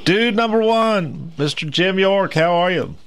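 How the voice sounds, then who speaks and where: A man hosting a local radio talk show, introducing guests in a relaxed, halting way with many fillers. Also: sits high, clear, resonant tone. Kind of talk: casual radio chat.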